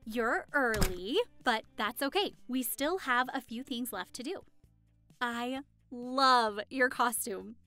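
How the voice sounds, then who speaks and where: A woman's voice: fairly high pitch, animated, takes it slowly, pushed and bright, no echo, soft background music, exaggerated voice. A woman playing a friendly, affectionate young hostess in an audio roleplay, chatting cheerfully and reassuringly to the listener. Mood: welcoming. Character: friendly, affectionate young woman hosting a Halloween costume party.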